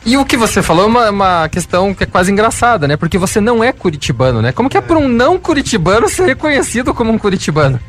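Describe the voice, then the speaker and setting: A man's voice: high voice, very animated, very fast, hardly any pauses, fairly bright tone. A man hosting a show, presenting segments and asking interview questions. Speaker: radio show host, interviewer.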